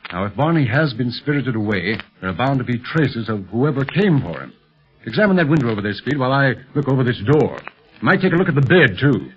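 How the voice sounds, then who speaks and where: A level-headed detective uncle in a 1930s radio adventure, mid-pitched and rough-edged. He reasons things out in a measured, reassuring manner on a muffled old recording. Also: very animated. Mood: serious, deliberate. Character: calm, sharp-minded secret police agent, fatherly uncle. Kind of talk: 1930s radio serial acting.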